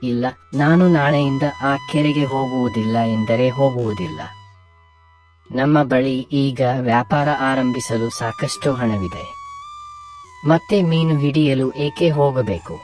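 Man doing a village fisherman, cartoon, earnest, animated, soft music behind. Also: mid-range voice, a little slow. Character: poor village fisherman husband, kindly.

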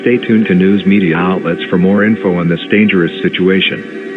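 A synthetic, robotic man's voice reads a scripted emergency alert as an announcer, in a formal, flat, mechanical manner. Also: sits low, normal speed, loud music behind the voice. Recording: muffled lo-fi TV alert broadcast.

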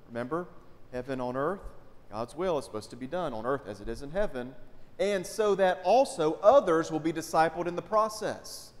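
A man, a pastor preaching a sermon in a conversational way and often asking the congregation questions. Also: on the high side. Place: large church sanctuary, indoors. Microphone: pulpit mic. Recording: long church hall reverb.

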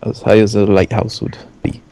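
A man's voice: fairly low pitch, level tone, normal speed, background noise. A man from Gibraltar with a Gibraltarian accent, planning a build matter-of-factly while playing. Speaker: gaming video co-host. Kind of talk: casual gaming chat.

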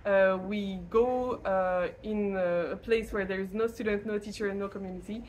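A young French woman speaks English with a clear French accent, pausing and hunting for the right words. Her voice sits at an ordinary middle pitch. A faint hiss lies under the recording. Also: steady tone, darkish tone. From France. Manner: halting, searches for English words. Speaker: lay missionary teacher. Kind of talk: casual testimonial to camera.

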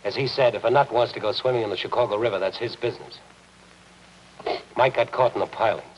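A hard-boiled, world-weary newspaper reporter played by a man, low-voiced and dry. He talks with sardonic persistence in 1950s noir style, over the faint noise of an old film soundtrack. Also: quick pace, highs cut off, natural voice. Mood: wry, world-weary. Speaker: actor playing a newspaper reporter. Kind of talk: 1950s film noir television drama acting.

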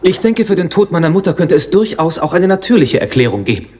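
Polite, higher-voiced gentleman. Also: very animated, fast talker, a little hiss or hum. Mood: tentatively insistent. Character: polite, insistent gentleman, son of the dead woman. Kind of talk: German detective radio drama acting.

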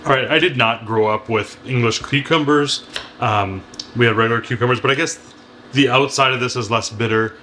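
Bright-voiced man chopping vegetables at home. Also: medium pitch, brisk, a little hiss or hum. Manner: relaxed, explains while chopping. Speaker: cooking show co-host. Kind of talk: casual cooking demo chat. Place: home kitchen, indoors.

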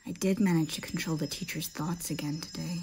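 A woman's voice: deep voice, steady tone, fairly quick, a little mellow, a little hiss or hum, rough recording. A woman voicing a cheeky, dramatic, moody thirteen-year-old girl in a comic fandub. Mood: musing. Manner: cheeky, dramatic, musing to herself.

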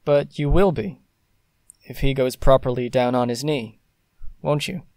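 An actor playing an idle, teasing, lovesick young gentleman in an audio drama. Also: medium pitch, measured pace, no echo, close to an everyday voice. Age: young adult.

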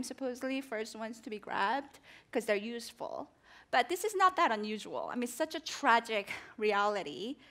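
A woman's voice: medium pitch, a bit bright. Korean-accented woman, earnest and reflective. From South Korea. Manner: earnest, reflective, gesturing with her hands. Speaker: journalist guest. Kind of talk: onstage interview. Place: theater stage before a live audience. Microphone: headset mic.

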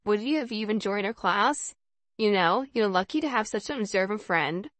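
A woman's voice: medium pitch, lively intonation, moderate pace, a bit bright, dry recording, natural voice. A woman voicing a friendly, encouraging schoolgirl who leads a chess class in a visual novel, in an instructive manner. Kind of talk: reading visual novel dialogue aloud.

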